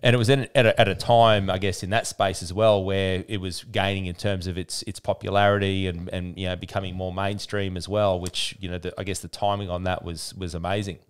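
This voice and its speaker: Relaxed Australian man hosting. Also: low-pitched, brisk, few pauses, smooth. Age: 40s. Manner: relaxed, conversational, laughs. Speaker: podcast host. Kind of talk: casual interview. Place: small podcast studio. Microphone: studio mic with headphones.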